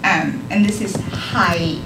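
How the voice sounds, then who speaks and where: A woman's voice: fairly low pitch, takes it slowly, loud music behind the voice. Young woman teaching in an echoey room. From Hong Kong. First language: Cantonese. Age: young adult. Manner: hesitant, lots of ums, light laughs. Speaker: volunteer language teacher. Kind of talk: live classroom lesson. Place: classroom. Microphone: far camera. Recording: echoey room from far off, noisy.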